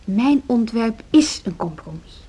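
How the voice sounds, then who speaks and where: An actress playing a determined younger deputy headmistress in a radio drama, insistent and argumentative. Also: female voice, fairly high pitch, animated, measured pace, dark tone, breathy edge. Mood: firm, insistent. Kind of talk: Dutch social radio drama acting.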